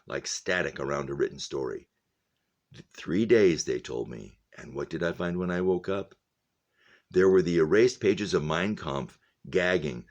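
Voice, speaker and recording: A man with a very deep, low voice reads aloud as a teacher would to a class. His delivery is deliberate and expressive, and the ends of his phrases sink into a hush. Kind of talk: expressive audiobook-style reading with casual spoken commentary.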